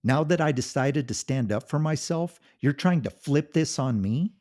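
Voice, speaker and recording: A man voicing a hurt, desperate husband who shouts indignantly at his wife. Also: medium pitch, expressive, normal speed, voice pushed up, close to an everyday voice. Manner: raised, pleading, indignant shouting. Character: desperate, hurt husband finally fighting back.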